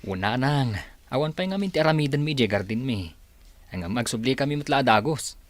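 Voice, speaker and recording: An actor playing an upbeat, respectful young village labourer and dutiful son in a radio comedy drama, with an Ilocano accent. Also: male voice, medium pitch, expressive, fairly quick, no echo, natural voice.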